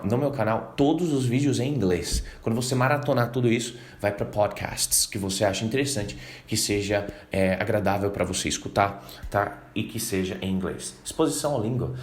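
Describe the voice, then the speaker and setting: Brazilian male English teacher, slightly low voice, lively casual lesson delivery. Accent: Brazilian Portuguese. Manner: animated, acts out learners' reactions, reads English lines slowly.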